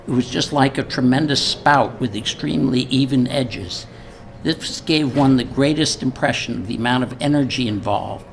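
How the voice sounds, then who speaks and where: An older man gives an informal speech and reads aloud from a letter, halting and repeating words at times. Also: mid-range voice, creaky. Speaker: speaker at a memorial event. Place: live event before an audience. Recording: live event recording with noticeable background noise.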